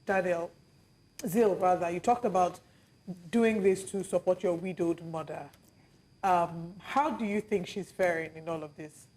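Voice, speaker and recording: A woman's voice: on the low side, unhurried, a little mellow. A woman hosting an interview, asking questions with a few fillers. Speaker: interview host.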